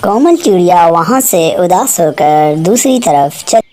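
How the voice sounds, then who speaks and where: Slow, steady woman narrating a cartoon. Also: fairly low pitch. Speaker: storyteller narrator.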